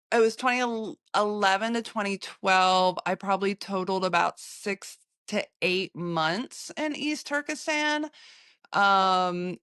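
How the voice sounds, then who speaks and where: An American woman, a photographer, recounting her travels in an interview, halting often with fillers and restarts. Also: on the low side, a bit bright, clean tone, no echo, clean studio sound. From United States.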